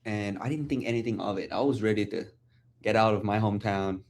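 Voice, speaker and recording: A man's voice: on the low side, fairly quick. A man in his twenties from Malaysia, a guest on a podcast, talking casually and repeating words as he searches for them. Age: 20s. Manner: relaxed, chatty, repeats words when searching. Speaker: guest, sports photographer. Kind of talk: casual conversation.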